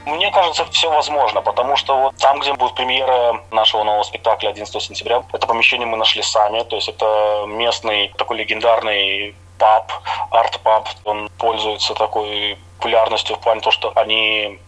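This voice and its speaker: Man, interview guest from Riga, conversational answers, bright forward voice. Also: on the low side, little pitch movement, brisk, rough recording. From Riga, Latvia. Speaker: interview guest, amateur theatre actor.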